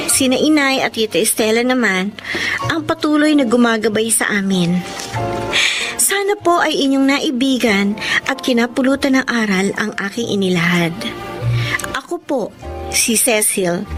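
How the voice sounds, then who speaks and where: Radio drama gentle young Filipina, high voice, prominent music bed. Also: female voice. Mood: reflective, sincere. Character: courteous, gentle young woman. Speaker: voice actress. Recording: loud music behind the voice.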